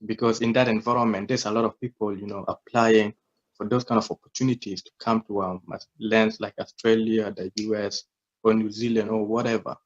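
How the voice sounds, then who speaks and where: A man's voice: on the low side, flat delivery, a little fast, lo-fi sound. A young man from South Sudan in his twenties, a humble and reflective guest telling his story in a casual interview.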